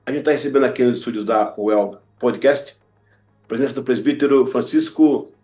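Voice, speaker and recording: A man hosting a church podcast interview in a casual, chatty way, jumping in with quick reactions. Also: medium pitch, mellow. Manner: chatty, jumps in with short reactions. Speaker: podcast host. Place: podcast studio. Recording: roomy, muffled studio audio with faint music.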